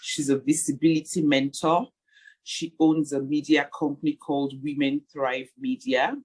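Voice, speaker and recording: A woman hosts an interview podcast in a husky voice. She takes her time, speaking slowly and pausing mid-phrase as she feels for the next word. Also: extremely low pitch, poor audio. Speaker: podcast host.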